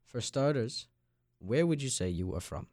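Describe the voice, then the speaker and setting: A man's voice: medium pitch, expressive, moderate pace, a little mellow, no echo, studio quality. A young man in his late teens from Long Island, New York, hosting a podcast and interviewing guests in a conversational way. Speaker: podcast host. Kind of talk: scripted intro and casual interview.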